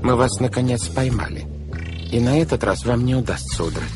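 A man's voice: mid-range voice, very animated, fast talker, over music, voice pushed up, close to an everyday voice. A man voicing a stern, officious, flustered guardian in an audiobook, pompous and emphatic as he gives orders. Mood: stern, indignant. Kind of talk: theatrical audiobook character acting.

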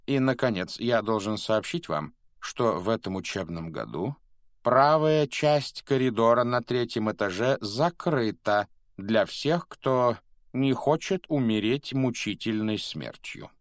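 A man's voice: medium pitch, lively intonation, a little slow, dry recording, studio quality, pitched above the performer's own voice, natural voice. A man voicing a solemn yet whimsical elderly wizard headmaster in an audiobook, with ceremonious, declamatory delivery. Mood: formal, grave. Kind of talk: audiobook character voice.

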